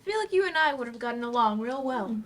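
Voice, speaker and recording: An actress in a live play reading, playing a cheeky, easygoing adult student with a slangy, casual manner. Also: female voice, fairly high pitch, lively intonation, quick pace, natural voice. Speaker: actor in a staged play reading.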